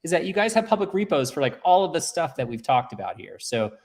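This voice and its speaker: A man hosts a casual tech show at a brisk, quick pace. His sentences run on as he explains and presents in a conversational way. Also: on the high side, very animated, darkish tone. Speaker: show host.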